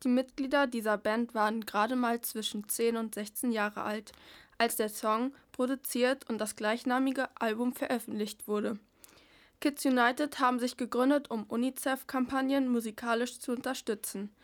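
A woman's voice: fairly high pitch, steady tone, normal speed. A teenage girl presenting on a school radio show, reading from a prepared script in a somewhat stiff way. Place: radio studio.

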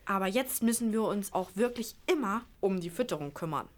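A woman's voice: medium pitch, expressive, a little fast, slight background noise, close to an everyday voice. A female performer playing a sensible, bossy schoolgirl who explains and instructs her siblings in a children's radio play. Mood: firm.

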